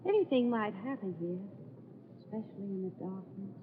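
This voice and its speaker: An actress playing a scheming, vengeful young bride in an old-time radio horror play, with a theatrical, deliberate and insinuating delivery. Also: female voice, medium pitch, natural voice. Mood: sinister, insinuating. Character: scheming, vengeful young bride luring her guilty husband. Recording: tinny, noisy old radio broadcast recording.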